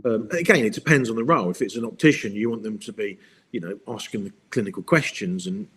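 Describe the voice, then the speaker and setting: A man's voice: on the low side, fast, fairly bright tone, slight background noise. A man answering interview questions, rambling and often repeating words while he thinks. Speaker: guest. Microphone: video call.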